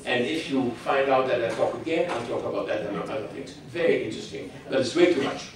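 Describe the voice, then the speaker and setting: Older man speaking haltingly in a hall. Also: medium pitch, background noise. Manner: halting, many restarts, opinionated. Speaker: guest speaker. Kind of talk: public talk with audience questions. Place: hall with audience. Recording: echoey hall from far off.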